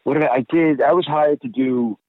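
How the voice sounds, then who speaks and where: A man's voice: on the high side, brisk, no echo. A Boston-accented man chats casually and rambles about his work, restarting sentences often. His voice comes through a thin, narrow phone line and sounds close and dry. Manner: chatty and rambling, with many restarts. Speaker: voice actor guest. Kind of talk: casual interview talk.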